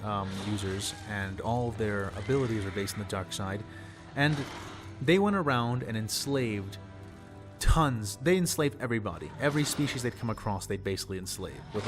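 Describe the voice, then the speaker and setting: A young man, slightly hoarse, explaining fictional lore in a rambling, casual way and repeating words for emphasis. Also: on the low side. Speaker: channel host.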